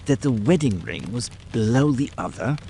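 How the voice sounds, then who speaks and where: A man's voice: mid-range voice, expressive, normal speed, gravelly, warm tone, slight background noise. A man voicing a plain-spoken, puzzled country police sergeant in an audiobook drama, blunt and folksy. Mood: questioning.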